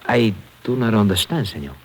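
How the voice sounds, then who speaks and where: An actor playing a deferential, puzzled Mexican sidekick with a Spanish-flavoured accent, in a 1950s radio drama. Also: male voice, fairly low pitch, lively intonation, brisk, close to an everyday voice. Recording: noisy old radio recording.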